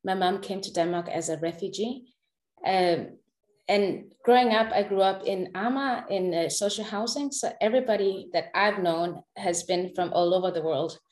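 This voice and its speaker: Woman, 30s, from Uganda, direct and warm, echoey room sound. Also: medium pitch, normal speed. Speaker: guest, pedagogue. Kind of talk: self-introduction in a talk.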